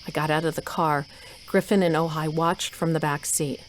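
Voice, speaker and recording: A woman's voice: sits low, fairly even intonation, moderate pace, slight background noise, natural voice. A middle-aged woman playing a determined, wry mother who narrates her own adventure in an audio drama. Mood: tense, wry. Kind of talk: first-person scripted narration.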